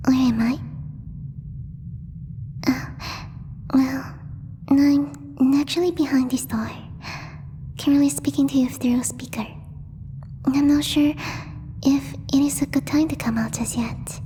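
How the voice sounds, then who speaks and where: A woman voicing a gentle, timid alien girl in a soft, breathy ASMR roleplay, speaking formally and haltingly as she searches for words. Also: high-pitched, steady tone. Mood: nervous, hesitant. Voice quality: breathy, whispery. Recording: long reverb, faint background noise.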